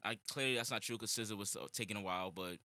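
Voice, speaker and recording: A man in casual chat with friends about music, talking quickly and laughing often. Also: fairly low pitch, sharp tone, no room sound. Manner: quick, laughs often, jumps between ideas.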